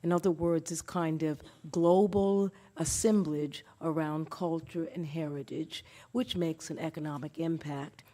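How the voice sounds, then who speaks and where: A woman gives a formal speech, working emphatically through lists of places. Her voice is very low and mellow. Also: fairly even intonation, measured pace, few pauses. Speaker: event speaker.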